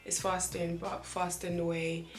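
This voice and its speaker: A young woman from Nigeria chatting in a vlog, her voice slightly croaky, animated, laughing often and repeating phrases for emphasis. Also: lowish voice, unhurried, soft background music. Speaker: vlogger. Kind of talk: casual chatty vlog talk. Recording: echoey room.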